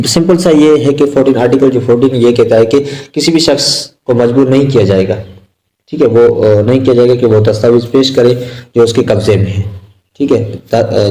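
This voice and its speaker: Pakistani guy doing an exam prep lecture, dark relaxed voice, kinda halting, repeats himself a lot. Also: medium pitch, low-quality recording. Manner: repetitive, halting explanation with simple examples. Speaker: law lecturer.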